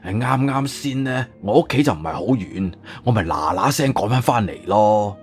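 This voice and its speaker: 一個男人用粵語有聲小說嘅演法，扮演一個慌張、囉嗦、急於安撫人嘅博物館館長，講嘢猶豫多口頭禪。. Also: male voice, lowish voice, expressive, normal speed, soft background music, close to an everyday voice. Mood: sheepish. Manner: hesitant, filler-laden, long-winded. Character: flustered, wordy museum director, eager to placate. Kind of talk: Cantonese audiobook character acting.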